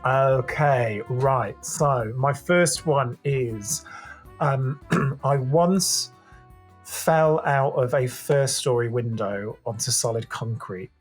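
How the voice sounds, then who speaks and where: A husky-voiced man talks candidly as a podcast guest, his pitch sitting in an ordinary middle range. He speaks openly and reflectively, often circling back and restarting phrases and clearing his throat as he goes. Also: slow pace, faint music behind. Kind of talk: candid conversational interview.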